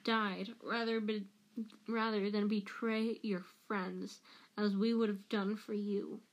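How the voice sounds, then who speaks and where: A woman reader performs a furious, bitter man bent on vengeance in a storybook. He speaks very slowly and forcefully, pressing each accusation home. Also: mid-range voice, level tone, close to an everyday voice. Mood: vengeful, snarling. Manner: slow, forceful, accusing delivery.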